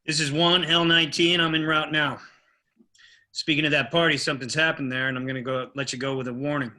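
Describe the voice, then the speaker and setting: A man acts out a kind young police officer in a film drama read. The voice is very bright and forward, a bit higher than average, with a steady, sincere manner. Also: a little fast. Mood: easing, official. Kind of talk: table read of a film script.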